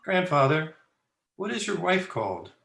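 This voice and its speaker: An actor playing a polite, puzzled young student in a radio play, earnest and a little hesitant. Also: male voice, a little high, sing-song, moderate pace, gravelly, fairly bright tone, exaggerated voice. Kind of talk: old-time radio drama acting.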